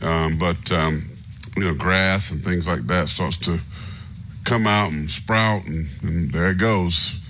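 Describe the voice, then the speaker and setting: A middle-aged man hosting a sports radio show, relaxed and rambling, a little nasal from congestion. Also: low-pitched, takes it slowly. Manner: relaxed, rambling, many fillers. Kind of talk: casual radio talk.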